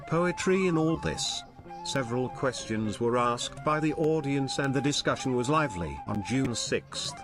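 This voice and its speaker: A man narrating a scripted report on a festival. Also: medium pitch. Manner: lively, reading a prepared report.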